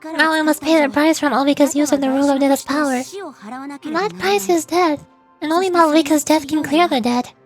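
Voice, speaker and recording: A woman's voice: high voice, steady tone, moderate pace, music in the background, natural voice. A woman voicing an earnest, worried young woman warrior with a pleading manner. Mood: urgent.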